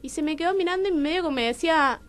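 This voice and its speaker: An Argentine woman with a Rioplatense accent, a talkative and expressive storyteller in conversation who acts out remembered dialogue. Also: high-pitched, racing. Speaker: playwright, radio show guest. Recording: music behind the voice. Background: music.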